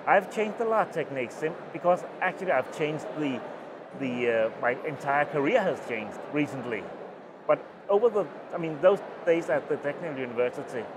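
A middle-aged Danish man with a Danish accent, giving an oral history interview at a conference and talking in halting phrases with many restarts. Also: fairly high pitch, expressive, noisy background. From Denmark. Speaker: interviewee, mycologist. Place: small booth at a conference, indoors. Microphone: lapel mic.

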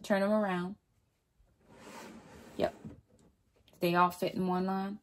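A woman's voice: medium pitch, level tone, slow pace, a little hiss or hum. A woman in her forties chatting casually to camera as a home vlogger, upbeat and saying y'all, filmed in a walk-in closet at home.

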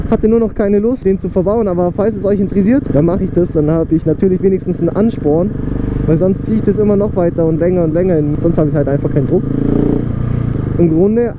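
A young man chatting casually and rambling while riding a motorcycle, recorded outdoors on a helmet camera mic. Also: sits high. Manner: casual, slangy, rambling with fillers. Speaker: motovlogger. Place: outdoors on a motorcycle on country roads. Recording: loud wind and engine noise on the road.

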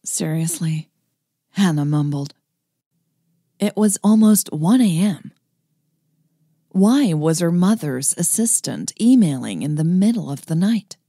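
A woman narrating her own romantic story in a calm, even reading style. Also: lowish voice, a little slow, pauses often, dry recording, clean studio sound. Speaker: podcast host and storyteller.

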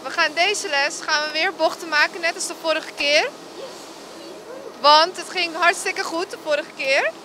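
A woman's voice: very high voice, moderate pace, sharp tone, slight background noise, low-quality recording. A woman ski instructor explaining the lessons plainly in an interview. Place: indoor ski hall.

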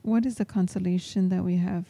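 A woman giving a live spoken translation of a homily as an interpreter, in halting phrases. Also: lowish voice, fairly even intonation, creaky, warm tone. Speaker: interpreter voicing a priest's homily in English.

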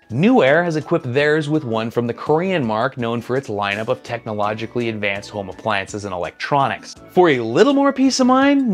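A man in his thirties giving a scripted gear review in a dry, matter-of-fact, slightly sardonic manner, recorded on a lapel mic indoors. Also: mid-range voice.

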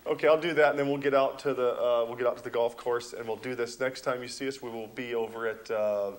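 A man's voice: mid-range voice, fairly even intonation, fast. A man talking casually and unscripted to camera in a loose, hesitant way.

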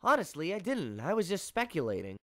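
A performer voicing a suave, smug teenage would-be detective in an anime parody, speaking in a pompous, formal, measured way. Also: mid-range voice, wildly swooping pitch, dry recording, clean studio sound. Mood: matter-of-fact. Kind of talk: anime parody dub acting.

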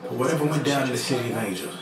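An actor playing an intrigued, noirish narrator in a romantic thriller audio drama. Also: male voice, medium pitch, very fast, natural voice. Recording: echoey, distant, noisy playback.

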